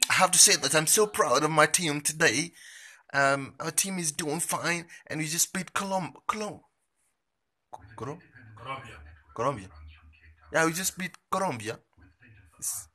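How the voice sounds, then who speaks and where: A man's voice: a little high, pushed and bright, heavily acted. A man playing a stammering English football fan. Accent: English put on. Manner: halting, stammering, boastful. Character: male English football fan tourist, proud, rambling. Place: indoors, sitting on a couch. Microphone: phone selfie camera close up.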